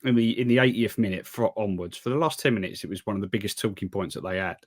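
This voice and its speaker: A man's voice: fairly low pitch, fast. A man co-hosting a football podcast, ranting with exasperation and stammering restarts. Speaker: podcast co-host. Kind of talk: casual football chat.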